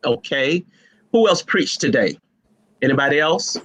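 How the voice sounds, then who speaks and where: A man hosting a live online panel of pastors, jovial and teasing as he asks quick questions over a video call. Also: fairly high pitch, animated, fairly bright tone, slight background noise. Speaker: host and moderator.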